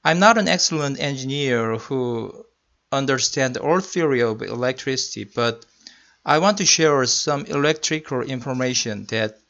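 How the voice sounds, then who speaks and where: A man with a Korean accent delivers a technical slide lecture at a medium pitch. He speaks carefully and deliberately, as a non-native speaker would. The recording sounds muffled and lo-fi. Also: fairly bright tone. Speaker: senior electrical engineering manager. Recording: muffled lo-fi voice-over.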